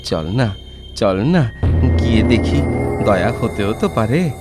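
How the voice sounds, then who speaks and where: A man's voice: fairly low pitch, expressive, slow, over music. A man playing a poor, grateful but bold wanderer in a children's cartoon fairy tale, speaking earnestly and expressively.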